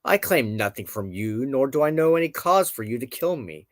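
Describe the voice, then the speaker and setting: A man's voice: mid-range voice, expressive, moderate pace, no room sound, clean studio sound, lightly acted. A man voicing a dignified, mortally wounded king in a storybook tale, formal and resigned. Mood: aggrieved, resigned. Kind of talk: storybook character dialogue in narration.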